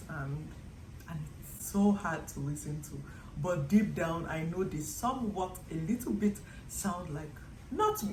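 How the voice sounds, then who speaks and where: A young Nigerian woman talks straight to camera in a relaxed, chatty way, with a slightly low voice. She tells her stories with animation and slips into quick acted-out quotes. The room around her is echoey, with a faint hiss of noise behind. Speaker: vlogger. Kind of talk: casual vlog monologue to camera.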